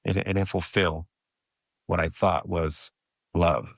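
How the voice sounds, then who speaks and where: Low-voiced hesitant man telling his story. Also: fairly even intonation, slow, vocal fry, dry recording, studio quality. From Mexico. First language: Spanish. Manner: hesitant, repeats words and restarts often. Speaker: podcast guest. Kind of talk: personal storytelling in conversation.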